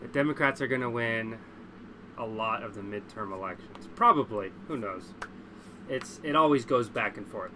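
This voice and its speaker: A man's voice: on the low side, moderate pace, sharp tone, slight background noise. A congested man chatting casually as a livestream host, blunt, opinionated and prone to swearing.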